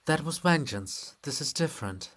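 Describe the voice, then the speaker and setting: A man voicing a stern, grieving princess monument in a solemn, measured, formal stage reading. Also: a little high, lively intonation, fairly bright tone, breathy edge. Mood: grave. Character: Princess Olha, stern, grieving, proud monument of a female ruler.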